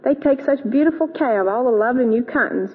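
A woman's voice: sits high, expressive, quick pace, slightly rough. A woman speaking as a gushing guest in a scripted 1940s radio advertisement. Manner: bright, gushing enthusiasm. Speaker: guest in a radio soap commercial. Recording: tinny, reverberant old radio broadcast.

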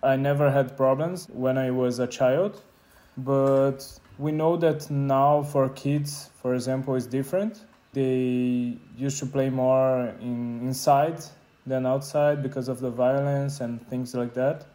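A man's voice: mid-range voice, fairly even intonation, slow pace, warm tone. A man in his twenties from Brazil with a Brazilian accent, casually telling his personal story as an interviewee. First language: Portuguese. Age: 20s. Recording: clear guitar music behind the voice. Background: guitar music.

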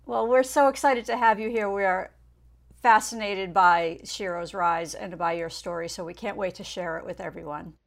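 A woman's voice: mid-range voice, moderate pace, gravelly. Upbeat woman podcast host. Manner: upbeat, welcoming. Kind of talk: scripted intro then interview chat.

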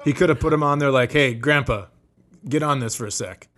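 A man's voice: medium pitch, brisk, fairly bright tone. A man co-hosting a comedy podcast in casual banter, cutting in with pointed questions and dry quips. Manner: interjecting, mock-exasperated questioning, dry quips.

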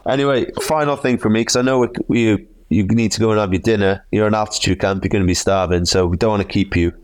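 A Welsh man chats as a podcast host. His voice sits fairly low and is picked up dry, and his questions come out informally. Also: steady tone, fast, dry recording. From Wales. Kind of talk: casual chat.

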